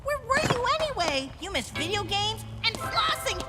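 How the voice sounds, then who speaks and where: A performer voicing an excitable, dim-witted, childish male sidekick in a cartoon, gushing and naive. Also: very high-pitched, moderate pace. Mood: excited. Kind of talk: cartoon voice acting. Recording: loud music behind the voice.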